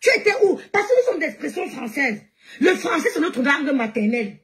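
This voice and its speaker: Woman, high pitch, live-stream host, mocking rant, laughing, shouting. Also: big pitch swings, a little fast. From Cameroon.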